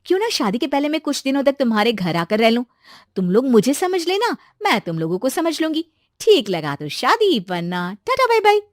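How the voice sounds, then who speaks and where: A woman voicing a spirited, outspoken young woman in an animated family story. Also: high-pitched, normal speed, no room sound, studio quality, voice pushed up. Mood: eager. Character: spirited, free-thinking young woman about to marry.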